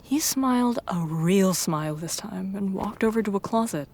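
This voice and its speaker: A woman reading scripted first-person fiction in a measured storytelling manner. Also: medium pitch, expressive, a little mellow. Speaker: story narrator.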